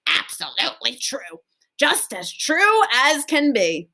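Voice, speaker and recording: An elderly storybook grandparent, acted by a woman, eager to agree. The voice is pushed high and swoops widely, exclaiming every point with emphasis. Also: very animated, slow pace, bright, forceful tone, full character voice. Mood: assured. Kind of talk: acted children's storybook reading.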